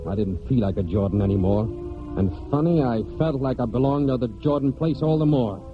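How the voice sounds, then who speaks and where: A man's voice: mid-range voice, brisk, over music, natural voice. An actor playing a plain-spoken, proud farmhand with folksy rural speech, telling his story in a 1940s radio drama. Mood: brooding. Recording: dull old 1940s radio broadcast.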